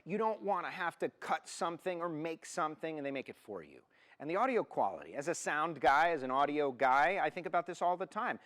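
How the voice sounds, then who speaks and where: A man's voice: on the high side, lively intonation, a little fast, a bit bright. Casual man co-hosting a car show. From Miami, United States. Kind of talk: casual product talk.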